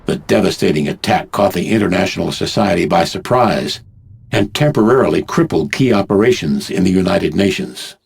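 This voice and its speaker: A man narrating a game cutscene as a grave, measured teller of world events. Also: lowish voice, gravelly, a little mellow, husky, heavily acted. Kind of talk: scripted dramatic narration.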